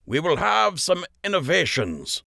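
Formal rabbit master of ceremonies played by a male reader, proclaiming in a high, lifted storybook voice. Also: huge pitch swings, measured pace, creaky, sharp tone, dry recording, clean studio sound, full character voice. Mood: official, commanding. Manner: calling out announcements, proclaiming. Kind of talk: audiobook character voice.

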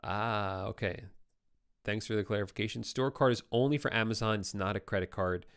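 Young male livestream host, slightly low voice, worn and hoarse from talking, relaxed answers into a close boom mic. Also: a little fast. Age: young adult. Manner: relaxed, conversational, reads viewer comments aloud. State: voice getting tired and hoarse. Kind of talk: casual live Q&A. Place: home studio with brick wall. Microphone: boom-arm studio microphone close up.

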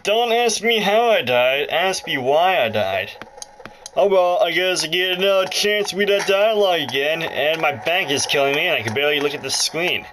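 A man's voice: fairly high pitch, animated, moderate pace, noisy background. A man giving casual let's play commentary, talking to viewers in a playful, mildly grumbling way. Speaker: gaming video creator. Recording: game music playing from handheld console. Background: loud game music and audio.

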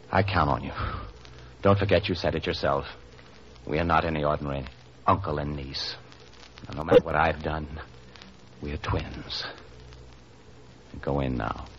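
An actor playing a desperate, manipulative middle-aged uncle, a hunted killer, in 1940s radio drama. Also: male voice, extremely low pitch, a bit bright, full character voice. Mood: sinister, coaxing. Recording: dull, faintly noisy 1940s radio recording.

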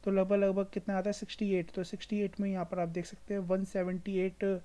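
A woman's voice: medium pitch, little pitch movement, a little fast, dark tone. A woman with an Indian accent hosting an informal explainer, advising emphatically with repetition and mixing in English words. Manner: mixes English words into speech. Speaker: stock market video host. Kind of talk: informal explainer over screen share.

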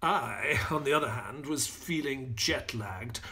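An actor playing an earnest, puzzled gentleman sidekick in an audio play, with a polite, hesitant manner. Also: medium pitch, lively intonation, a little slow. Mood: reflective. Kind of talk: audio play acting and storytelling.

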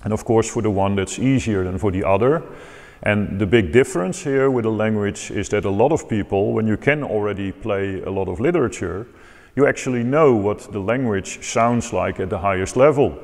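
A measured Dutch-accented man in his forties talks through his teaching ideas, his low, gravelly voice carrying from a distance. The sound rings with the long reverb of a large church. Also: darkish tone. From Netherlands. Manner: measured, thoughtful, gestures with hands while explaining. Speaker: organ teacher. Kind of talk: calm explanatory talk to camera. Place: large church with organ loft, indoors. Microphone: far camera, seated at a table.

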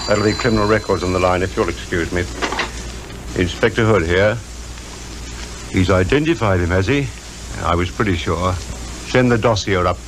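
An actor playing a blunt, knowing police inspector with a British accent in a 1950s radio drama, plain-spoken and clipped. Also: male voice, low voice, normal speed, pauses often, natural voice. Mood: brisk, sure. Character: blunt, worldly, confident male police inspector. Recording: noisy 1950s radio recording.

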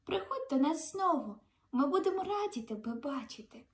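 A woman's voice: high-pitched, big pitch swings, moderate pace, echoey room. A young woman voicing an earnest little male snail from a storybook, speaking emphatically. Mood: grateful.

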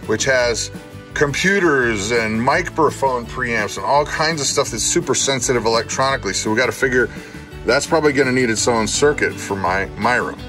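A man's voice: mid-range voice, lively intonation, moderate pace, over music. A middle-aged man hosting a casual family vlog at home, joking and easygoing as he talks to a handheld phone camera. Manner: easygoing, joking, faith phrases. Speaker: vlog host. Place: home interior, kitchen and living room.

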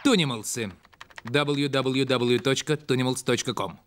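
A man's voice: mid-range voice, a little slow. A man reading scripted cartoon credits as an announcer. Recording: loud music under the voice.

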